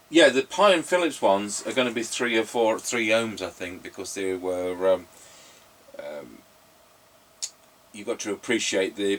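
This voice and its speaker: Middle aged bloke rambling through stories on a radio test, bit low voiced, mic sounds far away. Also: male voice. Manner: rambling, anecdotal. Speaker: radio repairer and presenter. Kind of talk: casual chat and radio test commentary. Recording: noisy, distant microphone.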